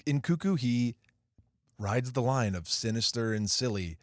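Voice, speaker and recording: A man's voice: mid-range voice, measured pace, dry recording, clean studio sound. A man co-hosting a movie podcast, delivering wry, theatrical quips in casual review chat. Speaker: podcast co-host.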